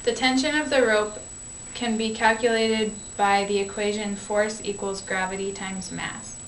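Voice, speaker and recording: A woman's voice: medium pitch, steady tone, a little slow, fairly bright tone. Female educational narrator, scripted read, echoey amateur recording with faint noise. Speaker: physics explainer. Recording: roomy, distant, noisy amateur recording.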